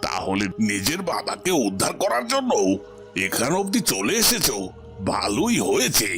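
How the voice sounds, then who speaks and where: Villainous, theatrical royal minister, swooping voice. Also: male voice, mid-range voice, huge pitch swings, normal speed, over music. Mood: menacing. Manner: theatrical, emphatic, repeating phrases. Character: villainous royal minister, menacing, then cowardly and pleading. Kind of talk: melodramatic cartoon voice acting.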